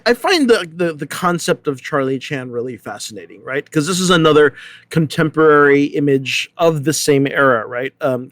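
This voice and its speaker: A man's voice: medium pitch, no echo. A man in a casual, analytical discussion, talking conversationally and often checking that listeners follow. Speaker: panelist.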